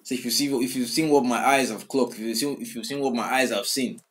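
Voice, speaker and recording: A man's voice: medium pitch, steady tone, quick pace, over music. A young man hosting a song reaction, talking casually with many fillers and restarts as he repeats lyrics back. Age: young adult. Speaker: music reaction host. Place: small room indoors. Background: song playing in the background.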